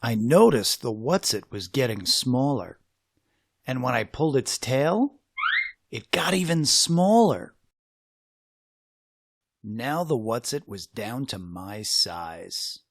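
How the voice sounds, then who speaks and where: A man reading a children's story aloud in an expressive, dramatic way with suspenseful pauses. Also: mid-range voice, a little hiss or hum. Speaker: storybook reader.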